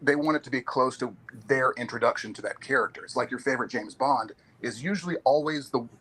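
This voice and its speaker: A man with a mid-range voice speaks as a guest on a relaxed talk show. He is opinionated and lays out his views in long, explanatory turns. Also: medium pitch, fast, a little hiss or hum. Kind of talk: casual chat.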